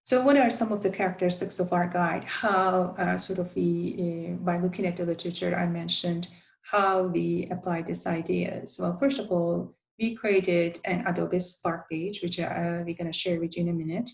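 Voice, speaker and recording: A woman presenting a design guide, a native Turkish speaker who talks in a halting way with many fillers and restarts. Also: fairly low pitch, steady tone, gravelly. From Turkey. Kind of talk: conference presentation.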